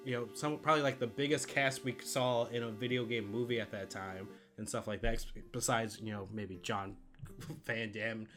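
A man's voice: mid-range voice, steady tone, normal speed, fairly bright tone, soft background music. A man in his thirties, a podcast co-host, talking films casually and jokingly with some swearing. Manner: jokey, laughs often, swears casually. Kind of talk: casual film countdown chat.

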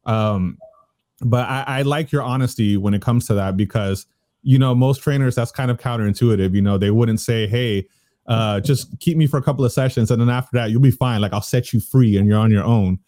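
A man's voice: medium pitch, fairly quick, warm tone, dry recording, clean studio sound. A man in his thirties interviewing in an informal, agreeable, rambling conversational way. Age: 30s.

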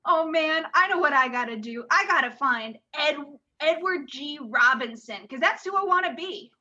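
A woman's voice: high voice, expressive, moderate pace, pushed and bright, exaggerated voice. A woman playing a hapless, henpecked husband who rambles in a meek, self-deprecating way in an improvised comedy radio play. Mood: resentful. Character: hapless henpecked husband, movie-obsessed, sheepish yet plotting. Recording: dull lo-fi audio.

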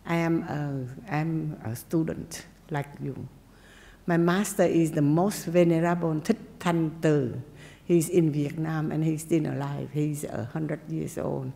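Slow, low-voiced woman teaching meditation. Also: fairly even intonation, vocal fry, in a large hall. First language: not English. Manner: gentle, simple phrasing with pauses and asides. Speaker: Buddhist nun teacher. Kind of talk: dharma talk and meditation teaching.

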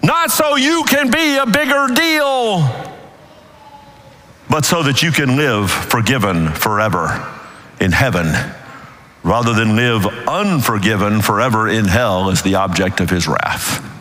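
A middle-aged man preaching a sermon as pastor, with a big, booming voice and an emphatic delivery that rises and falls in intensity. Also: expressive, unhurried, bright, forceful tone. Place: church sanctuary. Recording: long reverb of a large hall.

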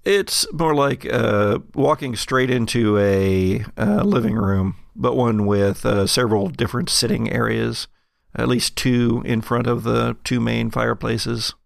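Male game master, heavy creak, mid pitch, improvised halting narration, dry close recording. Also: no echo.

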